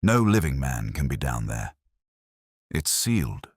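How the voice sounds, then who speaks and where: A man's voice: a bass voice, sing-song, measured pace, slightly rough, dry recording, studio quality, exaggerated voice. A man voicing a frightened, guilt-ridden man in an audio mystery story, subdued and pleading. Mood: fearful.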